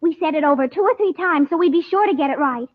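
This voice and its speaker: An actress playing an eager, excitable young girl, gushing and wide-eyed, in an old-time radio serial. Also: sits high, fast, natural voice. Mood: eager, insistent. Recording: tinny, band-limited old radio recording.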